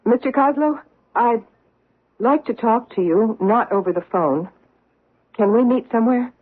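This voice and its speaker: A woman's voice: on the high side, measured pace, breathy edge. An actress playing a troubled wife in her forties who talks in a hesitant, guarded way, in a 1970s radio drama. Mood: nervous. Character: troubled middle-aged wife hiding a secret. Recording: tinny old radio broadcast.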